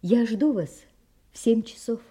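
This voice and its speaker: Radio play, female: resolute, mysterious young lady, husky and mellow, calm authority. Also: a little high, a little slow. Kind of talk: audio play acting.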